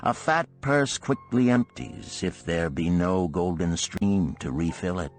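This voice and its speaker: A man voicing an earnest, animated musician of ancient Babylon in a storybook parable, with archaic, oratorical phrasing. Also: sits low, unhurried, faint music behind. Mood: reproving.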